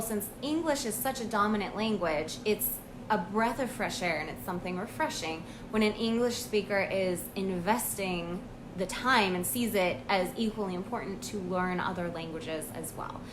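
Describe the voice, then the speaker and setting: An American woman, a language coach, talking about her own experience in a casual, earnest vlog monologue with frequent pauses and ums. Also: mid-range voice, noisy background. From United States. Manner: earnest, reflective, with pauses and fillers.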